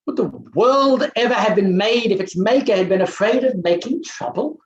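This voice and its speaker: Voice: mid-range voice, expressive, moderate pace, lo-fi sound, lightly acted. An actor playing an arrogant, overbearing middle-aged bachelor professor in a theatrical play reading, pompous and scornful, with a roomy, echoing sound. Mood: pompous, dismissive.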